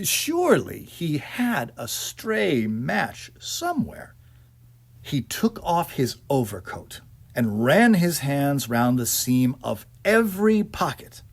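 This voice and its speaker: A man's voice: medium pitch, darkish tone. A man narrating a suspense story in a dramatic, theatrical manner with hesitant phrasing. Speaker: audiobook narrator.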